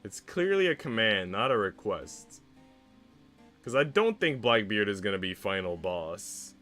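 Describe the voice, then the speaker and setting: Low-voiced man streaming, music behind. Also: fairly bright tone, clear, resonant tone. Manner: informal, mildly sweary, slangy. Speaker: streamer reading a visual novel aloud. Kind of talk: casual live-stream chat mixed with read-aloud narration.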